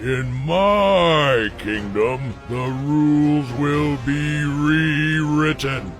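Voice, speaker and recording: A slow, pompous villainous dragon king. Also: on the high side, creaky, heavily acted. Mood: menacing, grandiose. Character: mighty villainous dragon usurper king, haughty and menacing.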